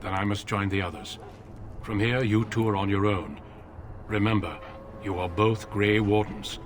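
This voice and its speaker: A dutiful older warrior commander from a fantasy game, played by a male actor. His low voice is solemn and measured, carrying firm authority. Noise sits clearly behind it. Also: fairly even intonation, lightly acted. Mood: grave, firm. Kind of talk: dramatic fantasy video game dialogue.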